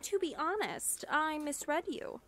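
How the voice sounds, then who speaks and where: A woman playing a high-voiced, unhurried confident young witch. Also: sing-song, a bit bright, slight background noise, full character voice. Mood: tender, teasing. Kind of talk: ASMR roleplay acting.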